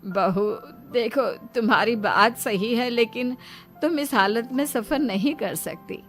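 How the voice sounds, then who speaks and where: A woman's voice: on the high side, takes it slowly, soft background music. A woman voicing a middle-aged village mother-in-law in a cartoon story, caring, earnest and emphatic. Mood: concerned.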